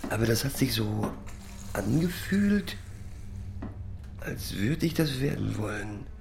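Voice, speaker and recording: An actor playing a wistful father reminiscing in a theatrical radio monologue, halting and repetitive, with sudden exclamations. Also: mid-range voice, expressive, vocal fry. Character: wistful father, a reluctant would-be singer reminiscing.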